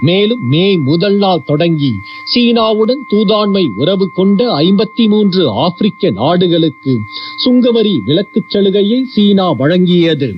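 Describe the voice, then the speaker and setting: A man reading scripted news in a formal, measured manner over a shortwave radio broadcast. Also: high voice. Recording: dull, hissy shortwave radio with hum.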